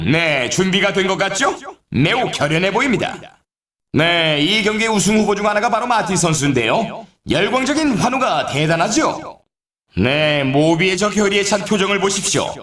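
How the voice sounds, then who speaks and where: Hyped up game race announcer, showy sportscaster style, really animated pitch, echoey sound. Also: male voice. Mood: hyped, dramatic. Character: lively male snowboard race announcer, upbeat and hyped. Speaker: sports announcer in a snowboard racing video game. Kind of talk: excited scripted race commentary.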